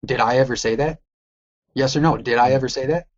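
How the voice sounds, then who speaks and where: A man's voice: medium pitch, brisk, highs cut off. A man in a heated debate, combative and repeating his challenges.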